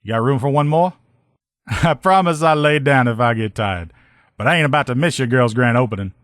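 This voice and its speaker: A man's voice: medium pitch, fairly quick, fairly bright tone, no echo, studio quality, natural voice. A man playing an upbeat, loyal army lieutenant and armor mechanic, with folksy, informal soldier talk, as video game dialogue. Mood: friendly, easygoing.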